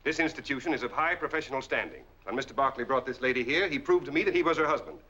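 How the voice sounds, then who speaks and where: An actor playing a pompous, defensive sanatorium doctor in a formal, officious 1940s film manner. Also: male voice, mid-range voice, very fast, sharp tone. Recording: dull old film soundtrack with music.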